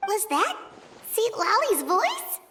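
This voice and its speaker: Casting: tiny excitable fairy guide, extremely high squeaky childlike voice, swooping intonation, game music behind. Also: female voice, takes it slowly, slight background noise, full character voice. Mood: curious. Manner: refers to self in third person, exclamatory. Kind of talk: video game character acting.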